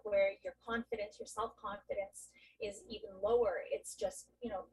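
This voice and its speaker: A woman panelist speaking earnestly and thoughtfully, often correcting herself mid-sentence. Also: fairly high pitch. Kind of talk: reflective panel discussion. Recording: voice distant from the mic.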